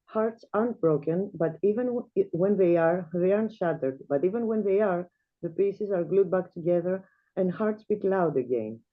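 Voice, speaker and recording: A woman from Greece, reading her own flash fiction aloud in a measured, quiet way as a guest reader. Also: fairly low pitch, lively intonation. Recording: tinny video-call audio.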